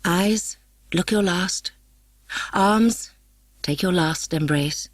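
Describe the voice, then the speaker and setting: A woman's voice: on the low side, drawn-out words, no echo. A woman playing an impassioned young tragic lover. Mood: mournful farewell. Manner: impassioned, theatrical. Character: lovelorn, reckless young man, tender then furious, doomed. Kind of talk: dramatic audiobook character reading.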